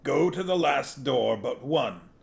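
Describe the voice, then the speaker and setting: A man voicing a suspicious masked priest of a dark god with a harsh voice, in a fantasy storybook reading. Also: mid-range voice, lively intonation, slow, gravelly, pitched above the performer's own voice, exaggerated voice. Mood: curt, instructing.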